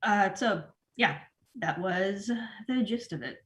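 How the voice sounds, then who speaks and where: A woman acting a disorganized, sheepish store manager in a comedy table read, halting and awkward as she rambles. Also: mid-range voice, lively intonation, a bit bright.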